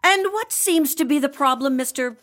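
A curt woman healer in a comic fantasy audio drama. Her voice is a little high. She is dry and sarcastic, with exasperation under a professional surface. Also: lively intonation, normal speed, over loud music. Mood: dry, clinical.

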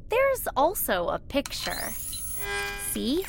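A woman's voice: sits high, huge pitch swings, slow, gravelly, music in the background, heavily acted. A woman playing a cheerful, pedantic ship computer chatbot, over-helpful and sing-song, in an audio drama comedy. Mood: cheerfully matter-of-fact.

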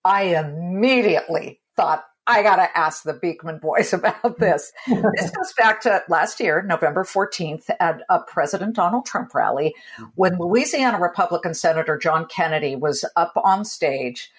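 A woman from California hosting an interview show in a lower voice. She chats with a very lively lilt and laughs easily as she goes. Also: normal speed. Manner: chatty, animated, laughs easily. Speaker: host. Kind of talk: podcast interview.

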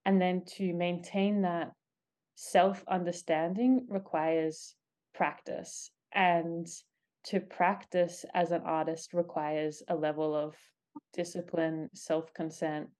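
An artist answering interview questions in a thoughtful, deliberate way, pausing between phrases. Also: mid-range voice, level tone, a little mellow. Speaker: artist, podcast guest.

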